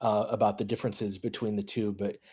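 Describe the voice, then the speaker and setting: Husky guy calling a hoops game on a stream, casual, short bursts, chatting w listeners. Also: on the low side, level tone, brisk, a little mellow. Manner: conversational, off-the-cuff, talking in short clipped bursts. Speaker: sports livestream host doing fan play-by-play. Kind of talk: casual running basketball commentary, chatting with listeners.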